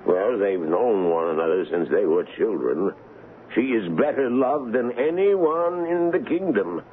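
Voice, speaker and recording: Muffled vintage radio drama with faint hiss. a loyal veteran colonel, low and very changeable in pitch. He speaks deliberately, with theatrical emphasis. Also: lively intonation. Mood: measured. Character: loyal, excitable veteran colonel in a royal court. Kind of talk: 1970s radio drama acting.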